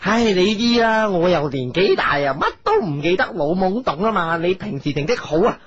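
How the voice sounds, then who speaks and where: A woman's voice: fairly low pitch, very animated, normal speed, a crowd in the background. A woman voicing a fussy, rambling old wizard king with a Hong Kong Cantonese accent in a radio comedy drama. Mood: gentle, coaxing. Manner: rambling, hesitant, exclamatory. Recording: slightly dull audio over music.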